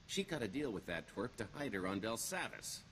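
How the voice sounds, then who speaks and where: A roguish con man from a video game. His deep voice moves freely up and down, wry and theatrical, hiding a wistful streak behind glib bravado. Clear background ambience sits behind him. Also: very animated, a little fast, fairly bright tone, slight background noise, poor audio. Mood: sly, wry. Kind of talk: video game character dialogue.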